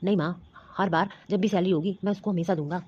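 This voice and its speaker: An earnest, defensive young husband played by a woman in a homemade family skit. He talks quickly in a dark, husky voice, and the recording has a dull, lo-fi sound. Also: fairly low pitch, fairly even intonation.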